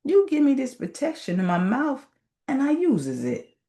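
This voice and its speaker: Woman voicing a plaintive, high-pitched folksy snake. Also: wildly swooping pitch, moderate pace, warm tone. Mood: plaintive self-defense. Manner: plaintive, folksy Southern dialect. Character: put-upon male snake pleading his case to God.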